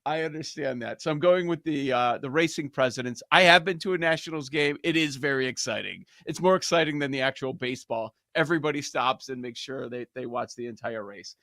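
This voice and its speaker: Higher bright-voiced guy, laughs a lot, dry close sound. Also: fairly quick, gravelly, hardly any pauses, dry recording. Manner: laughing, animated. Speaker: podcast host. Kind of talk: casual sports banter.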